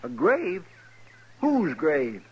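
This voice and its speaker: High-voiced, folksy western sidekick. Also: male voice, huge pitch swings, drawn-out words, husky, heavily acted. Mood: startled. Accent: American. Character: chatty, nosy, good-natured marshal's sidekick. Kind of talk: 1950s radio western acting. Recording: muffled, hissy vintage radio recording.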